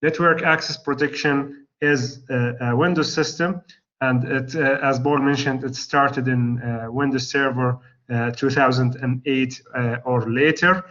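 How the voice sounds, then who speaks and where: A male lecturer with an Arabic accent, losing his voice, teaching a class in a halting way with many pauses and restarts. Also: medium pitch, fairly even intonation, fairly bright tone. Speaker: university lecturer. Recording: slightly dull lo-fi recording.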